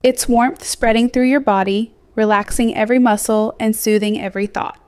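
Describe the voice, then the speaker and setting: Clear, smooth woman guiding sleep meditation. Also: a little high, fairly even intonation. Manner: slow, gentle, soothing delivery with soft phrase endings. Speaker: hypnosis guide. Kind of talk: guided sleep meditation, scripted hypnosis narration.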